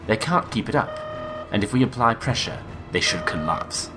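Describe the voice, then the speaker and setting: Low-voiced analytical starship first officer, a man acting. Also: lively intonation, normal speed, natural voice. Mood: focused, explanatory. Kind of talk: fan audio drama acting. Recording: constant music behind.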